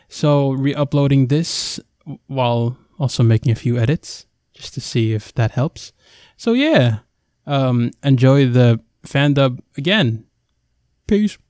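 A man's voice: fairly high pitch, a little mellow, no echo, studio quality. A young man casually introducing a video as its host and creator.

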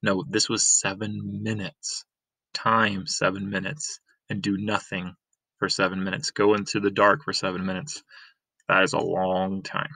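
A man's voice: sits low, flat delivery, measured pace, vocal fry, sharp tone, no room sound, rough recording. A middle-aged man reading a graphic novel aloud as a teacher, explaining emphatically and repeating key points. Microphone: webcam screen recording.

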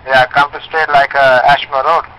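A man's voice: mid-range voice, level tone, normal speed, bright, forceful tone. Man, non-native English accent, polite, repetitive, pizza order phone call. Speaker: pizza delivery driver taking calls. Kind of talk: customer service phone call.

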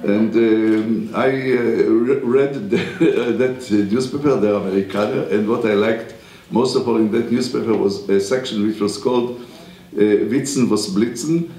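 A man's voice: lowish voice, warm tone. Older man lecturing at a podium, Hebrew native. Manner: halting, many pauses and restarts. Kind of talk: university lecture. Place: wood-panelled lecture room. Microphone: podium mic. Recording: echoey lecture room.

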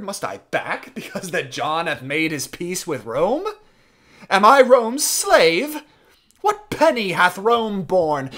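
A man playing a proud, demanding highborn young French prince in a declamatory, insistent Shakespearean stage monologue. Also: a bit bright, full character voice.

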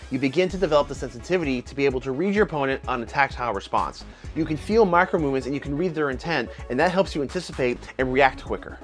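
Brisk man explaining to camera. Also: mid-range voice. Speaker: martial arts instructor and channel host. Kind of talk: scripted educational video. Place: home office with computer monitors. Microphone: small lapel mic.

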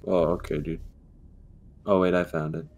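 Deep-voiced young man, flustered. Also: fairly even intonation, moderate pace, vocal fry, darkish tone, slight background noise. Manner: flustered, unsure of the way. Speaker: co-op player. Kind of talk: casual co-op gameplay chat.

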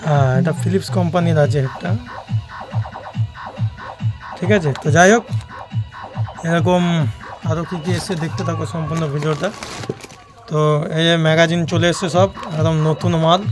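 A man's voice: fairly high pitch, steady tone, normal speed, pauses often, music in the background. A man with a West Bengal Bengali accent giving casual, repetitive sales patter to viewers in an unboxing vlog. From West Bengal, India. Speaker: audio equipment seller and channel host.

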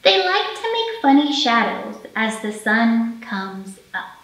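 Bright woman leading children's storytime, roomy sound. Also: fairly high pitch, slow. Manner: clear, simple, expressive phrasing with questions for small children. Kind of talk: storytime teaching, singing and picture-book reading for young children. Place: indoor library storytime room. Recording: roomy echo, faint music.

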